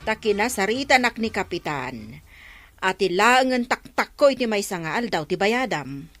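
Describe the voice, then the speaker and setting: An aggrieved but relenting village woman in an Ilocano radio comedy drama. Her voice is bright and lively, slightly high, and recorded dry with faint music. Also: normal speed, no echo. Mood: firm.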